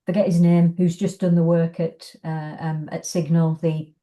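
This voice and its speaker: Mellow, low-voiced Englishwoman chatting casually. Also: little pitch movement, moderate pace. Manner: hesitant, chatty, thinking aloud. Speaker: arts organisation guest. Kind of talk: casual interview chat.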